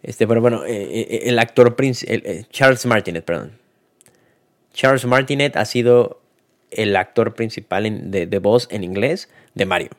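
A man's voice: lowish voice, normal speed. A man giving a casual spoken film review, hesitant, with many fillers and restarts.

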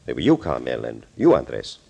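An actor playing a sinister middle-aged doctor, florid and mock-polite, in a 1940s radio thriller. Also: male voice, a bass voice, wildly swooping pitch, normal speed, creaky, dark tone, husky, heavily acted. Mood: scheming. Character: sinister middle-aged male doctor, suave, gloating schemer.

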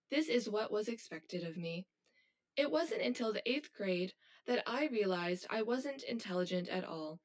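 A woman's voice: medium pitch, natural voice. A woman acting as an anxious, hollow female robot in an audio drama, speaking in formal, stilted, literal phrases.

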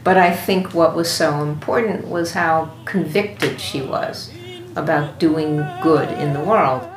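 A woman's voice: sits low, slow. A woman giving thoughtful, unscripted interview answers as a guest. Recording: roomy echo, faint music.

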